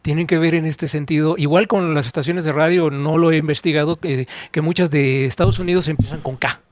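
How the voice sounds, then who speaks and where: A man's voice: on the high side, steady tone, brisk, no room sound. A man with a Mexican accent hosting a conversational podcast interview. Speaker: podcast host.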